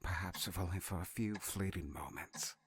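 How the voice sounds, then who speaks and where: A man playing a vain but nervous gentleman illusionist in an audio drama, speaking formally and theatrically with a stammer. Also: sits low, normal speed, breathy edge, no room sound. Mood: earnest, pleading.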